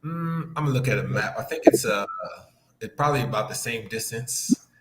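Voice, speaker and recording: The matter-of-fact guest who dials into a podcast from afar, a man of average pitch, halting now and then over a noisy line. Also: lively intonation. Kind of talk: casual conversation. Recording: clicky, noisy remote connection.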